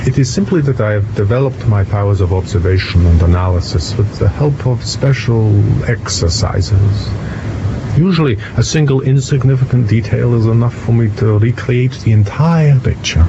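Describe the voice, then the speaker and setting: Guy as cool young diplomat, precise and formal, measured delivery, dullish sound w/ noise behind. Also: mid-range voice, natural voice. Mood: coolly analytical. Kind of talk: audio drama acting. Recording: muffled, noisy outdoor ambience.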